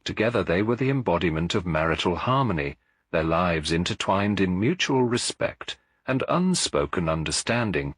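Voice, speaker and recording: Deep-voiced male storyteller. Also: fairly bright tone, husky, no room sound. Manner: measured, formal storytelling. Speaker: narrator. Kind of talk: scripted audiobook narration of a detective story.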